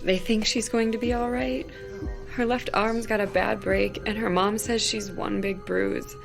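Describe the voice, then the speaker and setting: A woman's voice: medium pitch, level tone, measured pace, gravelly. An actress playing an earnest young female stage manager who talks in a worried way in a radio drama. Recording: radio drama with faint music.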